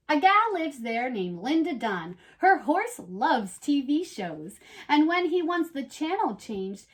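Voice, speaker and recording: A woman playing a perky, chatty reading buddy who reads storybooks aloud on a children's show with animated, playful asides. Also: high-pitched, heavily acted. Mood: chirpy. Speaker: co-host reading a picture book aloud.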